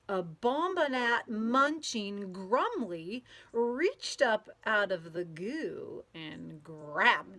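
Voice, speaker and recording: A woman reads a children's storybook aloud with a very lively, swooping delivery. She takes her time, stretching words out expressively for young listeners. Her medium-pitched voice gives playful weight to the silly words. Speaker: storybook read-aloud reader. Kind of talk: animated children's picture-book read-aloud.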